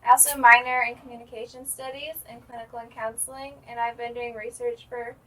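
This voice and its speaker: A woman's voice: on the high side, level tone, a little fast, far from the mic, low-quality recording. A young woman from Illinois, an undergraduate research assistant, talking eagerly about her program. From Illinois, United States. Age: young adult. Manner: bright, eager. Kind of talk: casual self-introduction.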